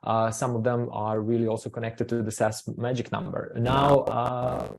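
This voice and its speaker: A man from Croatia hosting a business webinar from the marketing side, speaking haltingly with many fillers and restarts. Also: mid-range voice, little pitch movement, creaky.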